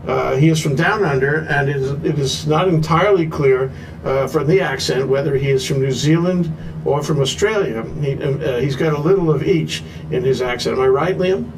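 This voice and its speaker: A man's voice: on the high side, normal speed, slight background noise, low-quality recording. A man hosting a live talk show, joking and rambling with fillers.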